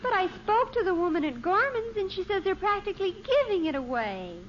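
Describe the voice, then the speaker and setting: A woman's voice: very high-pitched, very animated, brisk. A woman playing an earnest, chattering American teenage girl who wheedles her father in a 1950s radio sitcom. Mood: gushing, wheedling. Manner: breezy, earnest, gushing. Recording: dull, hissy old radio recording.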